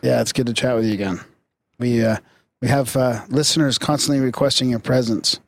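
A man co-hosting a podcast, relaxed and asking the guest questions. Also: mid-range voice, fairly quick, vocal fry. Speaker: podcast co-host. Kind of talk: casual podcast chat.

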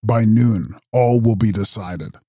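A man voicing a mysterious, ageless male count who makes grave, measured prophecies in an audiobook. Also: fairly low pitch, warm tone, no room sound, studio quality. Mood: foreboding. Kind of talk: audiobook character reading.

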